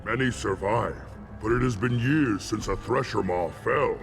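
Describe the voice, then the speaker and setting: A man voicing a solemn, zealous alien warrior shaman in a video game, gravelly and ceremonial in his grand declarations. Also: fairly low pitch, measured pace, faint music behind. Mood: solemn, proud. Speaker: clan shaman.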